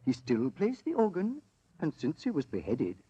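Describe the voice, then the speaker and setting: An actor playing an eager, courtly investigator of the occult, speaking in a theatrical, emphatic and genteel manner in a 1940s-style radio drama. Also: male voice, on the high side, huge pitch swings, normal speed, mellow, husky, no echo, exaggerated voice. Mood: eager storytelling. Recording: dull, dry old radio recording.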